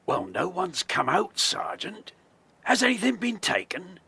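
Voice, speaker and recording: A man's voice: high-pitched, big pitch swings, measured pace, gravelly, sharp tone, breathy edge, heavily acted. An actor playing a dutiful subordinate village policeman in a children's audio drama. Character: eager subordinate village policeman, adult man. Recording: faintly noisy old cassette recording.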